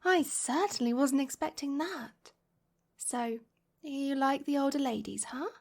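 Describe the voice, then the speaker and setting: Woman acting high-voiced doting British mum, soft ASMR. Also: unhurried, vocal fry. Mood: amused, reassuring. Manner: affectionate, coaxing, lots of pet names and sighs. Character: warm, doting, gently flirty middle-aged divorced mother. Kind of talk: soft intimate ASMR roleplay.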